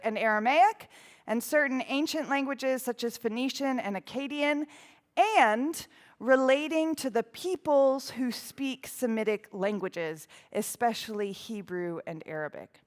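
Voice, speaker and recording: Chatty woman priest telling family stories. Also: high-pitched. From United States (Houston area). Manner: chatty, animated, lots of fillers and asides. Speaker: priest giving a talk. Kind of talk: storytelling talk with audience quiz.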